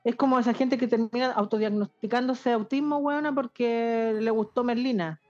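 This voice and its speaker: A woman's voice: fairly high pitch, steady tone, moderate pace, a little mellow, muffled recording, soft background music. A woman with a Chilean accent co-hosting a podcast in blunt, crude, sardonic casual chat. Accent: Chilean Spanish. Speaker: podcast co-host.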